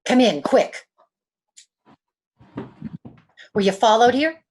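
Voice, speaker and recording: A woman's voice: a little high, lively intonation, moderate pace, a little mellow, slight background noise, close to an everyday voice. A woman playing a frightened young gangster's moll on the run, slangy and pleading, in an old-time radio detective play. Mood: fearful, urgent.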